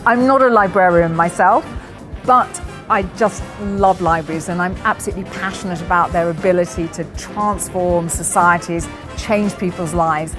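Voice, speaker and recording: A woman's voice: on the low side, steady tone, moderate pace. A woman giving enthusiastic, gushing casual remarks as a conference attendee in a recap video. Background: music.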